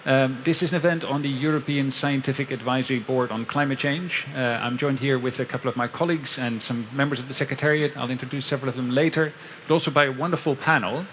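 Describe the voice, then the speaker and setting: A man's voice: mid-range voice, fairly even intonation, a bit bright, slight background noise. Man moderating a conference panel. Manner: measured, with frequent "um" and "uh". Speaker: event moderator. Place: pavilion at a conference. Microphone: headset.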